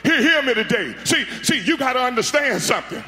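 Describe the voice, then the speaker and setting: A man's voice: very high voice, animated, fairly quick, sharp tone, slight background noise. A middle-aged man, preaching on a large arena stage into a handheld mic, fiery and full of repeated words and calls to the crowd. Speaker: pastor. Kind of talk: sermon. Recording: echoey arena sound.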